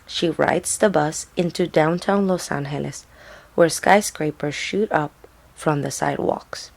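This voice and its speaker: Woman, Puerto Rican accent, slightly low husky voice, children's storybook narrator with simple, deliberate delivery. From Puerto Rico. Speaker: storyteller reading aloud to children. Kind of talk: children's picture-book read-aloud.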